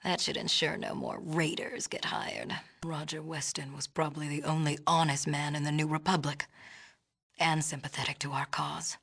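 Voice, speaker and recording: A stern female leader from a video game, ruling her closed city with disdain. Her voice sits low for a woman and has a husky edge. She speaks formally and in clipped phrases, with an imperious, superior air. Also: level tone, moderate pace, fairly bright tone, exaggerated voice. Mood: brisk, formal. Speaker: game character voice actor. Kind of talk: scripted video game dialogue acting.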